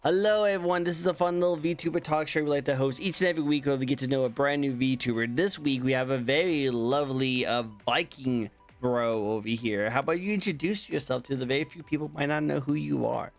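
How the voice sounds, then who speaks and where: A man's voice: medium pitch, fairly quick, few pauses, soft background music. A man hosting a casual online interview show, upbeat and playful, often repeating his own phrases. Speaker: talk show host.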